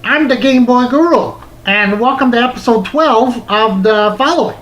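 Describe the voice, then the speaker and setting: A man hosting a retro game review, reading a wordy script in a measured, presenter-like way. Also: very high-pitched, a bit bright, rough recording. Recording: music bed under the voice. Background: music.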